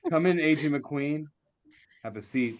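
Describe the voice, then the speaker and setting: A man in a script table read playing a smug, scheming agency boss, falsely reassuring and slightly halting. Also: a little high, close to an everyday voice. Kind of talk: virtual table read of a comedy screenplay. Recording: dull, muffled lo-fi connection.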